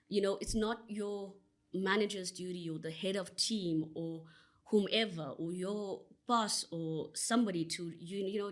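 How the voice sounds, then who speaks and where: Earnest South African woman, lowish voice. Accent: South African English. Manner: earnest, expansive, frequent "you know" fillers. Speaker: podcast guest. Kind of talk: conversational interview answer.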